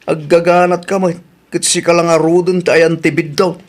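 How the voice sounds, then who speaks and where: A man's voice: a little high, flat delivery, moderate pace, lightly acted. A man playing an exasperated, scolding friend in a comically dramatic radio drama, with an Ilocano accent. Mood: exasperated, mocking.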